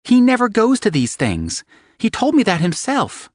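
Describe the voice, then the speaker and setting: A man's voice: big pitch swings, measured pace, no echo, studio quality. A man voicing an anxious, tearful young woman who talks in a pleading, strained way. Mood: panicked. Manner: pleading, breathless, high and strained.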